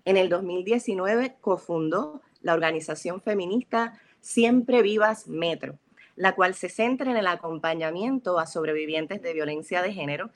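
Low-voiced woman host reading bios. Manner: measured, formal reading. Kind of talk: reading guest introductions.

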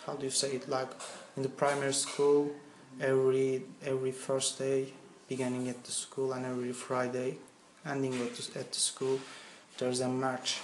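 A man's voice: mid-range voice, monotone, normal speed, hardly any pauses. Young turkish guy speaking english as a second language, searching for words, echoey room with some faint hum. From Turkey. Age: young adult. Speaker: interviewee. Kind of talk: casual interview answers.

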